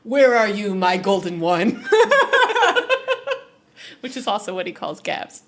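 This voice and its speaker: A woman's voice: mid-range voice, sing-song, takes it slowly, fairly bright tone. A woman co-hosting a podcast, chatting casually about television in a playful, enthusiastic way. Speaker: podcast co-host. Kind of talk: casual TV recap chat.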